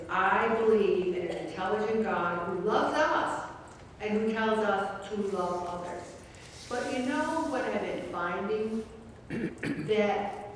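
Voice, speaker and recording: A woman's voice: medium pitch, a little hiss or hum. A woman in her seventies leading a faith-based weight loss group, telling chatty, humorous stories. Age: 70s. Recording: echoey hall, distant mic, faint ambience.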